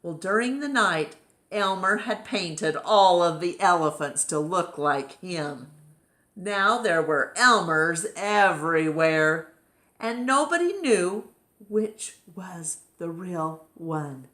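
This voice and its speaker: A woman reads a children's story aloud. Her pitch sits a little low and she takes the narration slowly, shaping it expressively for young listeners. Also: lively intonation, fairly bright tone, echoey room.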